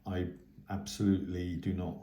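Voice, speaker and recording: A man giving a casual, unscripted radio hobby news update in a hesitant, halting way. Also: low-pitched, level tone, mellow. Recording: echoey, roomy sound.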